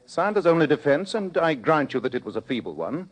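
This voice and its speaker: A man playing a keen, self-assured English gentleman detective in an old-time radio drama, speaking formally and with precise authority. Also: fairly high pitch, very animated, brisk. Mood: analytical, assured.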